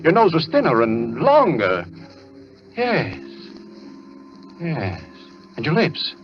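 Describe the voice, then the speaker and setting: An actor playing a deranged, obsessive doctor in an old-time radio thriller, theatrical and repetitive, swinging between muttering and ranting. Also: male voice, a little high, huge pitch swings, takes it slowly, exaggerated voice. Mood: muttering, confused. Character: deranged doctor asylum inmate, frantic, obsessive, menacing. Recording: dull old radio recording, music underneath.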